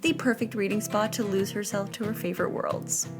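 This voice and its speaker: A woman's voice: medium pitch, fairly even intonation, brisk, music in the background. A woman with a playful, chatty manner, narrating a home makeover video in an upbeat scripted style with casual asides. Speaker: host of a home makeover vlog.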